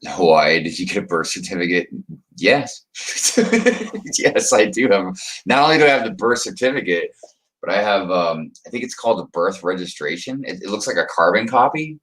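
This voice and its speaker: A man's voice: sits low, lively intonation, normal speed, fairly bright tone. A man hosting a livestream, chatting casually with viewers and reading their comments aloud as he rambles. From United States. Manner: rambling, chuckling, talks to viewers by name. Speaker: livestream host reading chat. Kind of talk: casual chat.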